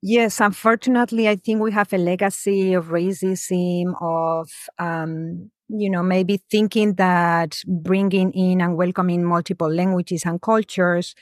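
A woman from Spain, a researcher answering interview questions in a measured, thoughtful way, pausing often. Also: fairly low pitch, steady tone, no room sound, studio quality. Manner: measured, thoughtful, frequent "um" pauses. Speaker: guest, education researcher.

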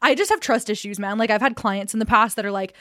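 A young woman co-hosts a casual podcast, quick to tease her partner. Her voice sits a little high. The recording is close and dry. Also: pushed and bright, no echo. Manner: teasing, quick and chatty. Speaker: podcast co-host. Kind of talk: casual chat.

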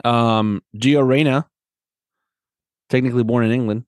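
A man's voice: medium pitch, fairly even intonation, unhurried, darkish tone, dry recording, studio quality. A man in a casual sports discussion, co-hosting as a coach and often checking in for agreement. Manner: conversational, often seeks agreement with tag questions. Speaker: podcast host and soccer coach.

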